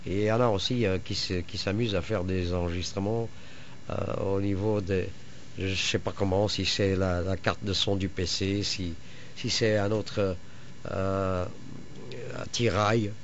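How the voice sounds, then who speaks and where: A man with a fairly low voice talks casually as a radio amateur. He proceeds haltingly, often searching for his next word. The recording is a little dull, with faint noise behind him. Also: fairly even intonation. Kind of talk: casual chat over radio.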